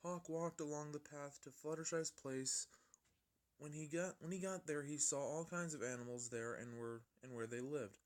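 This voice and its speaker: A man's voice: on the high side, fairly even intonation, moderate pace. A man narrating a fan fiction story in an amateur style, often stumbling and rereading his lines.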